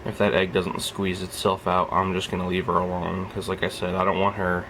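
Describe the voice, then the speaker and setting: A young man with a deep, low-pitched voice, fresh out of bed, talks softly and rambles at length about the reptile in front of him. His casual vlog chatter is recorded indoors at home, with a faint hum of background noise. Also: completely flat delivery, a little fast. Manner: rambling, soft-spoken, keeps his voice down. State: just woke up. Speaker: reptile keeper filming his snake. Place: at home beside a reptile rack.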